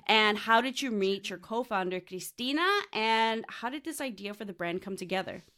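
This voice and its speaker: A woman's voice: mid-range voice, moderate pace, sharp tone. A woman hosting a podcast, bubbly and enthusiastic, laughing often and mixing in Spanish slang words. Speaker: podcast host. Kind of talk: casual interview with astrology talk.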